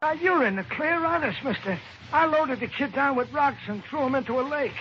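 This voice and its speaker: A man's voice: very high voice, fairly quick. An American actor playing a shifty, scared hobo pleading for his life in slangy, ungrammatical speech, in an old radio crime drama. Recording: dull old radio recording, faint noise.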